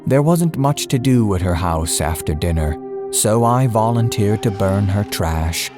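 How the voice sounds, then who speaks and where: Male narrator for spooky audiobook, lowish voice, deliberate phrasing, over prominent background music. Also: lively intonation. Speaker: horror story narrator.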